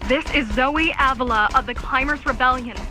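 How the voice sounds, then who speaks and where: High, bright, pleading rebel woman. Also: normal speed, soft background music. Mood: urgent, pleading. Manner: urgent, pleading. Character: desperate young female rebel broadcasting for survivors. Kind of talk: scripted video game voice acting.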